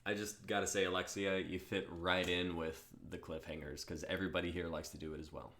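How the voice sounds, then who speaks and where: Young man, low pitch, casual chat, script reading, stream performer. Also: little pitch movement, brisk, fairly bright tone. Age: 20s.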